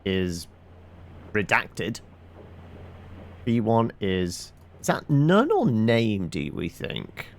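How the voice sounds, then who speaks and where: Guy muttering thru a game stream, kinda slow and gravelly, unsure of himself, noisy background. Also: on the low side, sing-song. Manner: thinking aloud, muttering and hesitant. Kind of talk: casual live gameplay commentary.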